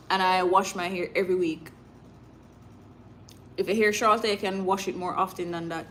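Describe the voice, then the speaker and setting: A woman's voice: medium pitch, a bit bright. Jamaican woman chatting live, noisy background. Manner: informal, drifting into Patois. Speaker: livestream host. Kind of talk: casual live chat with viewers. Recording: clear background noise.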